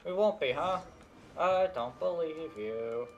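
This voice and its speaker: A man's voice: on the high side, expressive, slow, muffled recording, slight background noise. A man giving casual, offhand playthrough commentary as a game streamer, with a dry manner.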